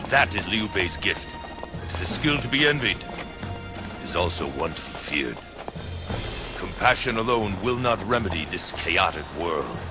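An ambitious, proud warlord acted by a man, with a low voice that moves expressively up and down. He sounds grand, calculating and authoritative, in a game cutscene with music underneath. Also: natural voice. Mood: solemn. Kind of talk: video game cutscene acting. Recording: dramatic game music behind.

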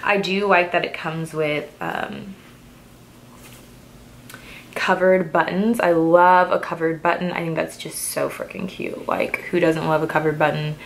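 A woman's voice: sits low, steady tone, a little slow, vocal fry, slight background noise, low-quality recording. A woman in her twenties chatting casually through a clothing try-on haul, a little stuffy from a cold and full of "like" and "um" fillers. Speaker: fashion vlogger.

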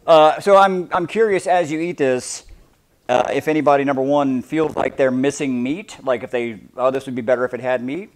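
A man's voice: mid-range voice, normal speed, rough recording. A man in his forties, a chef talking casually and ramblingly to a live audience while cooking in a large demo kitchen, picked up from across the room. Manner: chatty, rambling, self-deprecating.

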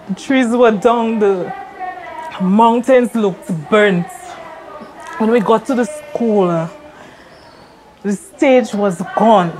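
A woman in her thirties, a primary school teacher, telling her story in an earnest, quick documentary interview with pauses. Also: a little high, a little hiss or hum, rough recording. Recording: clear music bed under voice. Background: soft music.

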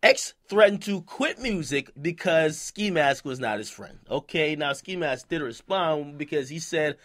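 A man's voice: a little high, lively intonation, measured pace, a bit bright. A man hosting an opinionated, slangy hip-hop commentary, speaking emphatically and punctuating his points with checking questions. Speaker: commentary show host.

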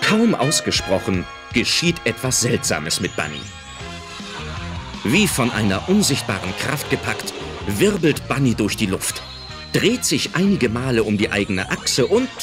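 A man narrating a scripted audio drama as its storyteller. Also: mid-range voice. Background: music.

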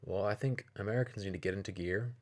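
A man giving an opinionated video commentary, speaking in a measured, deliberate and persuasive way. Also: fairly low pitch.

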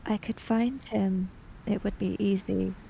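A woman playing a grieving, vengeful young woman in a roleplay game, speaking in a hushed, terse, brooding way. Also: mid-range voice, fairly even intonation, measured pace, gravelly, a little hiss or hum, low-quality recording. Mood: cold vengeance.

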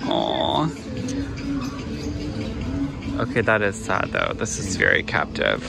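A man's voice: low voice, huge pitch swings, moderate pace, creaky, over loud music. Gushing man vlogging a sunny port. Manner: gushing, playful, chatty. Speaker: family vlogger. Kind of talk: casual travel vlog. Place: outdoors at a sunny cruise port.

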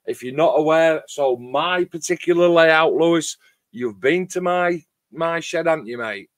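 A middle-aged man with a loud voice, chatting casually and in a rambling way as host of a hobby livestream. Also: fairly high pitch, a little slow, dry recording. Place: home garage workshop shed.

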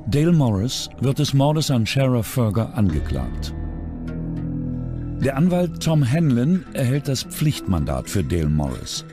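A man's voice: mid-range voice, very animated, measured pace, over music. A man reading scripted true-crime documentary narration.

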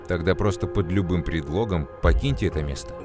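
A man voicing a calm adult scientist who explains things to children in a measured, earnest way. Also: low-pitched, over music, lightly acted. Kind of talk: scripted educational narration.

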